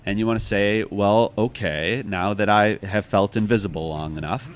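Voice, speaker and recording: An American man from Chicago talking casually as an interview guest, halting and thoughtful as he tells anecdotes and gives advice. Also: lowish voice. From Chicago, United States. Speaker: author and podcast guest.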